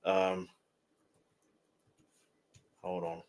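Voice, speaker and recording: A man with a slightly nasal voice, giving casual reaction commentary and talking straight to the viewer, often repeating words in quick bursts. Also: deep voice, monotone. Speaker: music reaction host.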